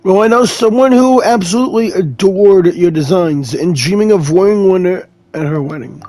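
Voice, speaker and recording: A man playing a mild, friendly young traveler in a video game, speaking in a gentle and supportive way. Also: high voice, moderate pace, no room sound, soft background music, natural voice.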